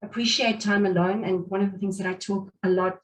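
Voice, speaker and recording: A woman's voice: mid-range voice, fairly even intonation, a little fast. A middle-aged woman whose first language is Afrikaans, giving an earnest, reflective talk at a meetup with many fillers and restarts while she has covid. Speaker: guest speaker. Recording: speaker distant from the mic.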